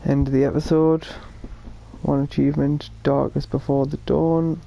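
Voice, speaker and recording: Gravelly man doing casual game commentary. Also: on the high side, flat delivery, moderate pace, highs cut off. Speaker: let's player. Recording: game music under the voice. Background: game music.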